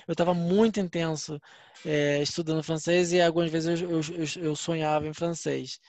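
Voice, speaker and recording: A man's voice: on the high side, fairly even intonation, normal speed, a little mellow. A man from Brazil co-hosting a casual podcast, speaking earnestly and agreeably, often restarting his sentences. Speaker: podcast co-host.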